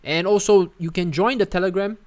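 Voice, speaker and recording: A man from Singapore, hosting a casual livestream and answering viewer comments in a chatty, animated way. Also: sits high, a little fast. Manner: chatty, animated, peppers talk with "man", "guys" and "right?". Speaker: livestream host.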